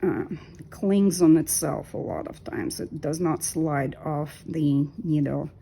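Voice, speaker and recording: Low, gravelly-voiced woman in her fifties. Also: dark tone, slight background noise. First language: Russian. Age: 50s. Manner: unhurried, hesitant with many ums. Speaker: knitting vlogger. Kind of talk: casual talk while knitting.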